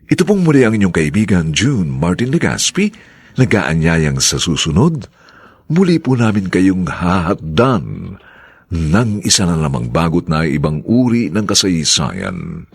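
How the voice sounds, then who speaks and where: A Filipino man hosting a radio drama, reading a formal scripted announcement in a theatrical, deliberate way. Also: deep voice, huge pitch swings, vocal fry, slightly rough, no room sound, studio quality.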